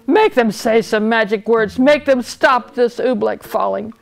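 A woman's voice: a little high, unhurried, vocal fry, pitched above the performer's own voice. A woman voicing a proud, imperious old king in a storybook, given to blustering and groaning. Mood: commanding. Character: proud bellowing old king turned tearful and helpless.

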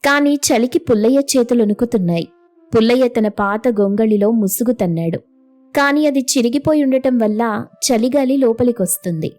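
A woman's voice: a little high, animated, normal speed, darkish tone, faint music behind. A woman narrating a scripted moral story as a storyteller.

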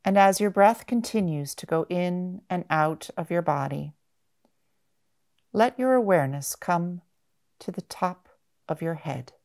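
A woman guiding a meditation in a gentle, measured manner, with long pauses between short phrases. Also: on the low side, animated. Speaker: mindfulness meditation guide. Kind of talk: guided body-scan meditation.

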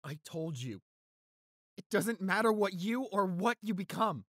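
A young man acting an anxious, devoted boyfriend in a roleplay audio, halting and stammering as he pleads. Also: sits high, expressive, fairly bright tone, dry recording, clean studio sound, exaggerated voice. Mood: dismayed.

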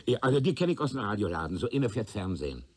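An actor playing an evasive, flustered tenant under questioning in a radio crime drama, hesitant and colloquial. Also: male voice, on the low side, big pitch swings, quick pace, darkish tone, breathy edge. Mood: chatty. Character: nervous, evasive male witness, a nosy tenant turning flustered.

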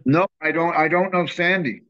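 An older man with a bright, forceful voice pitched a little high, talking freely as an interview guest. He rambles warmly and with enthusiasm. The sound is dull and lo-fi, like a laptop mic on a video call. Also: moderate pace. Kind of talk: casual interview chat. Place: home room, video call.

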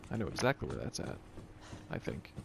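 A man's voice: low-pitched, very animated, brisk, gravelly. A man giving casual, playful video game commentary, goofily talking to himself as he plays. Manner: playful, goofy, talks and sings to himself. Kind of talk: casual let's play commentary.

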